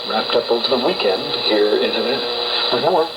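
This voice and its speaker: A man's voice: mid-range voice, lively intonation, a little fast. A man anchoring a radio news programme on shortwave, presenting from a script to listeners. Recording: very noisy shortwave radio reception.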